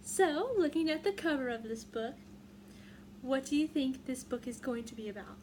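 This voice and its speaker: A woman's voice: sits high, expressive, normal speed, darkish tone. A woman reading a picture book aloud for young children in a teacherly way, putting questions to her listeners. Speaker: read-aloud storyteller. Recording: clearly noisy room background.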